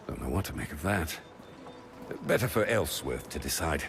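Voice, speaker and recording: An actor playing a smug, pragmatic official in a fantasy game, speaking in a wry, knowing, confiding way. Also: male voice, extremely low pitch, lively intonation, normal speed, gravelly, background noise, full character voice. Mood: wry, reassuring. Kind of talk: dramatic fantasy game acting. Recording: music behind the voice.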